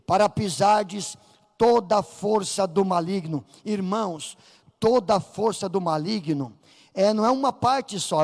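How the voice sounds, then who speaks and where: Brazilian male pastor, very high gravelly voice, fiery sermon delivery, reverberant church. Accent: Brazilian Portuguese. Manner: fervent preaching, rising to shouts, acting out voices in the story. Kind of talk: Pentecostal sermon. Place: church sanctuary.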